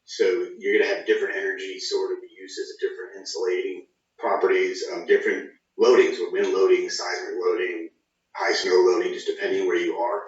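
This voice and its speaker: Fast-talking man in a very echoey room. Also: sits low, level tone. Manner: explanatory, informal, often says "you know" and "sort of". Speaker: government project engineer interviewee. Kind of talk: informal technical explanation in an interview. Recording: roomy, distant, dull sound.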